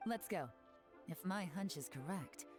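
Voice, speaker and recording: An actress playing a cool, confident female spy in a video game, calm and commanding. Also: lowish voice, measured pace, over loud music.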